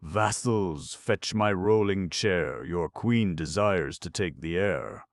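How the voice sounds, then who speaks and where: A man voicing a haughty patchwork rag-doll girl with a shrill, defiant way of talking. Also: lively intonation, slow, no room sound, clean studio sound, full character voice.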